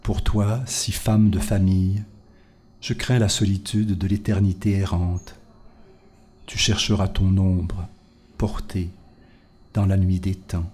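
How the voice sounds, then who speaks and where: A man reads his poetry aloud in a slow, unhurried cadence. His voice sits low and mellow, and the delivery stays measured and solemn, sinking to near whispers at times. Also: flat delivery. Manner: measured, solemn, hushed in places. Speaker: poet reading his work.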